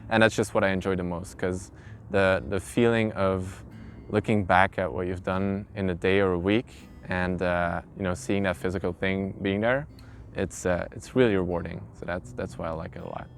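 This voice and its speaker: A man's voice: sits low, steady tone, moderate pace. A man, an English speaker, telling his own story informally in an interview. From Belgium. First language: not English. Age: early twenties. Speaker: interviewee, carpenter. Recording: clear background noise, ambient sound.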